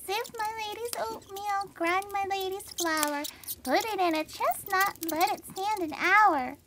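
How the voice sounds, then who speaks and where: A woman voicing tiny mice who sing nursery rhymes in shrill, high voices. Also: unhurried, heavy background noise. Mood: merry singing. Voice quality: high squeaky.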